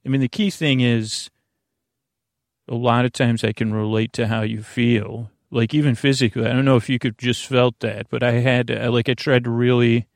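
A man hosting a bedtime podcast in a creaky voice, rambling in a lulling, halting, meandering way. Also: medium pitch, flat delivery, no room sound, clean studio sound. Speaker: podcast host.

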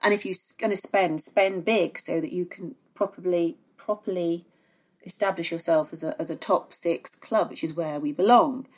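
Woman, British accent, lowish voice, podcast pundit, hesitant phrasing, repeats words for emphasis. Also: normal speed. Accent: British English. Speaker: guest football pundit. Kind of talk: conversational opinion, podcast discussion.

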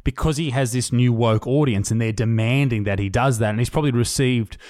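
An Australian man talking on a podcast in a middle pitch, blunt and profane, his thoughts running on. The sound is dry and close with no room around it. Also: fairly quick, a little mellow, no echo. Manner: blunt, swears freely, loose run-on talk. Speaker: podcast host. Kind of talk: casual chat.

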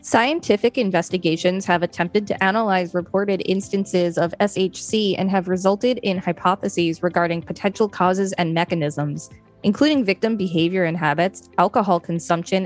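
A woman's voice: lowish voice, a little mellow. A woman co-hosting a podcast, chatting casually and reading an article aloud. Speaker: podcast co-host.

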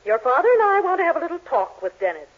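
A woman's voice: very high voice, sing-song, fast. An actress playing a bossy, disapproving middle-aged mother in a 1940s radio sitcom, commanding and cutting. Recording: old 1940s radio, tinny narrow band.

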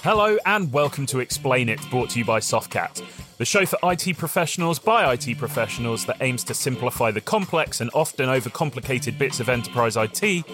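A male podcast host with a mid-range voice reads a brisk, presenter-style opening, then chats easily with his guests. His delivery stays friendly and upbeat. Faint music plays underneath. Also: mid-range voice, expressive, fairly bright tone. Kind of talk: scripted intro and casual chat.

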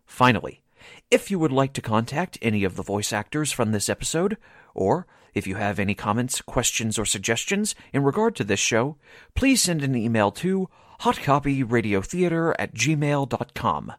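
Male announcer, medium pitch, lively intonation, formal scripted radio-theatre delivery, very dry close recording. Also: moderate pace, no room sound.

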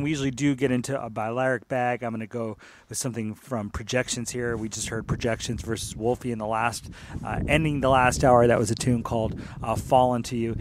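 A man's voice: mid-range voice, noisy background. A man hosting a music radio show, chatting in a loose, playful, rambling way and repeating himself. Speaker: radio DJ host.

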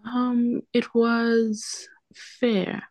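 A woman's voice: fairly high pitch, flat delivery, drawn-out words, darkish tone, no echo. A young woman from Zambia and South Africa with a Southern African accent, explaining her own experiences as an interview guest and repeating words for emphasis. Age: young adult. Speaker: podcast guest, medical doctor. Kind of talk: conversational interview, recounting personal experience.

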